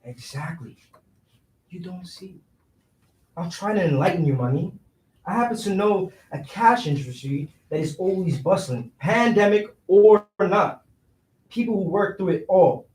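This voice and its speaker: High-voiced streetwise hustler played by a man. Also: expressive, darkish tone. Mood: insistent, persuasive. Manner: slangy, fast-talking persuader with streetwise phrasing. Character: scheming, cash-strapped young city man, streetwise persuasive hustler. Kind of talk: staged play reading, slangy dialogue. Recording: roomy echo, distant microphone.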